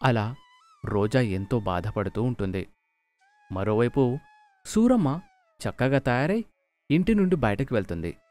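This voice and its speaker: A man's voice: medium pitch, animated, unhurried, pauses often, darkish tone. A man reading scripted narration for a moral story. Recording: music behind the voice.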